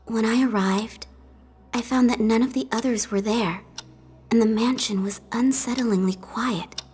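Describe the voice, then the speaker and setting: A woman playing an earnest young rookie police officer in a video game, soft-spoken and uneasy. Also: a little high, fairly even intonation, a little slow, husky. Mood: weary, uneasy. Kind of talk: video game cutscene acting and narration.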